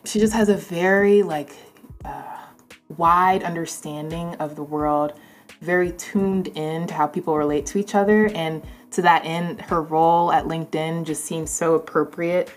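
A woman hosting a casual interview, talking informally and haltingly with many conversational asides. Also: sits low, fairly even intonation, soft background music. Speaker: interview host.

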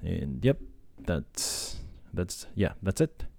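A man's voice: deep voice, sing-song, slow, creaky, a little mellow, no room sound. A man from Japan with a Japanese accent, talking casually and hesitantly on his own podcast, with many fillers and restarts. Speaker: podcast host and language tutor. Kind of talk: casual solo talk.

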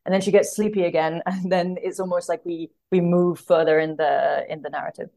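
A woman's voice: lowish voice, steady tone, a little fast, creaky, dark tone. A woman guest talking thoughtfully about literature in conversation, hesitant and correcting herself as she thinks aloud. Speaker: podcast guest, literary translator.

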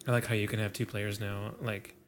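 A man's voice: on the low side, fairly quick, slight background noise. Laid-back young man co-hosting a podcast. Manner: relaxed, laid-back, many fillers and chuckles. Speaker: podcast co-host. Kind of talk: casual chat.

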